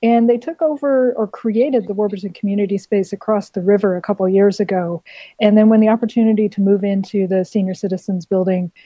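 Dark-toned accented woman guest. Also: medium pitch, fairly even intonation, fairly quick, dry recording, low-quality recording. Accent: non-Australian, foreign. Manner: friendly, explanatory, with many fillers. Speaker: community cafe committee member, guest. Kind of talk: radio interview.

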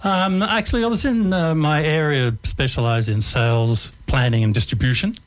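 An older Australian man telling his life story as a radio guest, relaxed and hesitant, with frequent ums. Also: on the high side, normal speed.

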